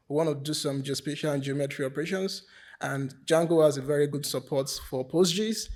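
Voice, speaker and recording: A man giving an informal lightning talk at a conference as a software developer, sometimes repeating his words. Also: on the high side. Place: conference stage.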